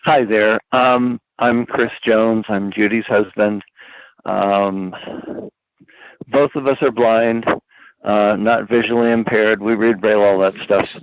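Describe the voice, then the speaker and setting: An older man introducing himself at a committee meeting over a call, halting with many fillers. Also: lowish voice, steady tone, no room sound. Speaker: committee member. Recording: tinny phone-line audio.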